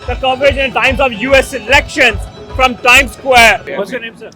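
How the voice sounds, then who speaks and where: A man doing street interviews at a crowded outdoor festival, asking brisk prompting questions. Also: very high voice, fairly even intonation. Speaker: street reporter. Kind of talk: vox-pop interviewing. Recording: loud crowd and music outdoors.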